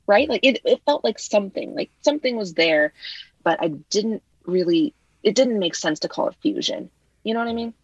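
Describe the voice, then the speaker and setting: A woman's voice: mid-range voice, lively intonation, fairly quick, slightly rough, no echo, highs cut off. A woman whose first language is Vietnamese, a guest talking casually and loosely, leaning often on "like" and "you know.". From United States. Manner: chatty, heavy on fillers like "like" and "you know". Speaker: guest writer. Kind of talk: casual conversation.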